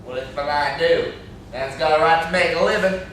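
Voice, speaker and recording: A man's voice: fairly high pitch, normal speed, fairly bright tone, lightly acted. A young man acting in an amateur stage play as a defiant, cocky backwoods dog-fight promoter who argues brashly. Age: young adult. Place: classroom, indoors. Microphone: far camera. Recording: echoey classroom, distant camera mic, noisy.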